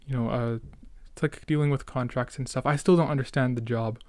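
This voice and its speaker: Young man with a smooth, clear voice. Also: medium pitch, steady tone, fairly quick, darkish tone. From Canada. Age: late teens, young adult. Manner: rambling, self-interrupting, youthful slang. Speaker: podcast host. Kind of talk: casual solo chat, reminiscing.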